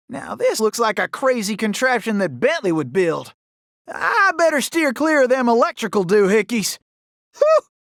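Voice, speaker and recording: Really high pitched cocky cowboy outlaw, thick old west southern drawl, swings all over, video game style, dry sound. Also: male voice, fairly bright tone, no echo, exaggerated voice. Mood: folksy, determined. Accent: American Old West Southern drawl. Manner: folksy, exclamatory, boastful cowboy bravado. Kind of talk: animated video game character acting.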